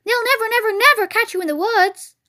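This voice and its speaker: A woman voicing a fast-talking female goose who repeats her words three times. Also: extremely high pitch, sing-song, fairly bright tone, voice pushed up, full character voice. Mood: shouting.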